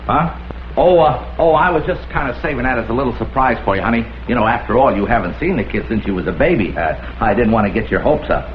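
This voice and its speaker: An actor playing a slick schemer in a vintage crime drama, coaxing in slangy, informal talk. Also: male voice, mid-range voice, expressive, fast talker, natural voice. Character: slick, wheedling male schemer after insurance money. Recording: dull old soundtrack with faint noise.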